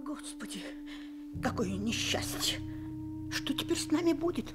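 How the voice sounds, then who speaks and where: Distressed woman, very high and slow. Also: animated, music in the background. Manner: emotional, pleading. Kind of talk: 1970s Soviet radio play acting.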